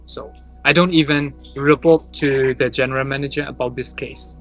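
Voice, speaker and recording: A person who speaks English as a second language, talking casually as a guest in halting phrases with repeated words. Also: medium pitch, fairly even intonation, faint music behind. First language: not English. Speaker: interview guest. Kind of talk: casual conversation.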